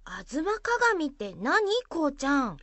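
A woman's voice: high-pitched, wildly swooping pitch, fairly bright tone, no echo, heavily acted. A woman playing a curious, admiring young schoolgirl in an amateur radio drama, asking questions and musing. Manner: inquisitive, drawn-out musing.

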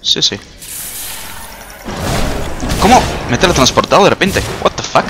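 A young man giving casual, jokey gameplay commentary, excitable and quick to swear. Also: a little high. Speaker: gamer. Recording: clear game music behind.

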